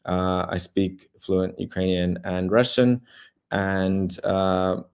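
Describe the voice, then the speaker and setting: A young Australian man answering interview questions, speaking in halting phrases with many fillers and restarts. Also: low-pitched, level tone, creaky, darkish tone, clear, resonant tone. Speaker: journalist guest.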